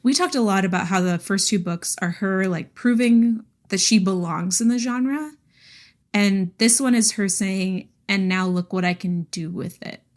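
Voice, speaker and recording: A woman's voice: medium pitch, unhurried, darkish tone. A woman co-hosting a podcast, discussing books in a casual, conversational way. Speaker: podcast co-host.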